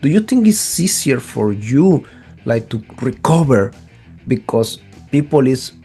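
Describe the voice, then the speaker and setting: A man's voice: medium pitch, sing-song, unhurried, mellow, husky, faint music behind. A man interviewing a singer, speaking as a with enthusiastic, eager questions. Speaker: interviewer, show host. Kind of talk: casual interview conversation.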